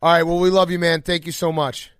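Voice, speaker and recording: A man's voice: a little high, little pitch movement, fast talker. A man hosting a podcast, chatting casually and interviewing guests.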